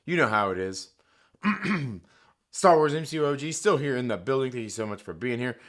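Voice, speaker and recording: A man hosting a live stream, talking casually and profanely while his voice is hoarse, joking and reading chat aloud. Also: medium pitch, lively intonation, moderate pace, a bit bright.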